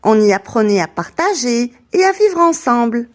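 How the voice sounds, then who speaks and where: A woman's voice: a little high, sing-song, moderate pace. A woman voicing a cheerful, knowledgeable young girl who explains things enthusiastically in a scripted children's educational cartoon. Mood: instructive.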